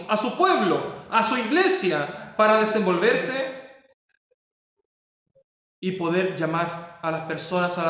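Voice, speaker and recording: Male pastor, high voice, emphatic sermon delivery, heavy room echo. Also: pushed and bright. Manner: preaching with rising emphasis and rhetorical questions.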